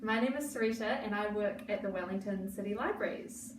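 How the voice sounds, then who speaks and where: A woman's voice: mid-range voice, fairly quick. Woman librarian reading a storybook aloud. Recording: echoey room, mic far off, noisy.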